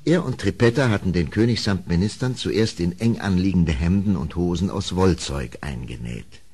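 An actor narrating a horror tale as a grave storyteller in a vintage German radio play. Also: male voice, sits low, lively intonation, moderate pace, warm tone, husky, full character voice. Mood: measured.

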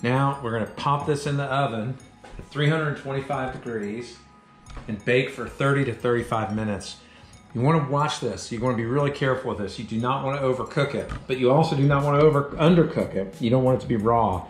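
A man's voice: medium pitch, a little fast. A middle-aged man hosting a home cooking show, talking upbeat and chatty straight to the camera as he demonstrates a recipe in a large home kitchen. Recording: echoey kitchen, soft background music.

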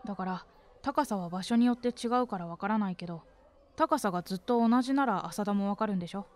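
A woman's voice: medium pitch, fast, a little mellow, lightly acted. A woman acting a cocky, blunt sixth-grade boy with a good heart in a voice drama. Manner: blunt, boyish, defensive.

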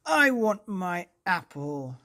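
A woman voicing a grumpy young male sheep in a bedtime storybook, who shouts when angry. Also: lowish voice, sing-song, slow pace, voice pushed up, heavily acted.

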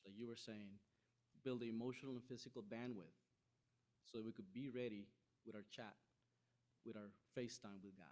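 A young man from Costa Rica preaching a sermon from a podium, conversational and animated, acting out quoted voices, recorded in a large church hall. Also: medium pitch.

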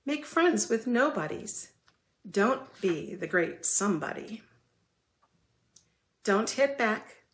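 A woman reading scripture aloud in a measured way as the reader at a worship service. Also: mid-range voice, a bit bright. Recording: roomy, echoing church sound.

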